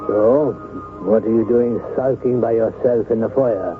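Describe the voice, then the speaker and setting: An actor playing a polite, fussy, concerned gentleman friend in a period radio drama, with mannered speech. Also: mid-range voice, measured pace, close to an everyday voice. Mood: teasing, sociable. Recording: tinny old radio broadcast, music behind.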